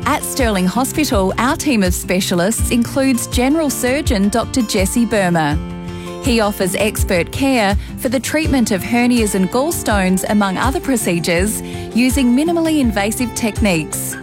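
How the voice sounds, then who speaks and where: A woman reading a scripted radio ad in a calm, reassuring way. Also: on the high side, moderate pace, music in the background. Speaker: advertisement voice-over.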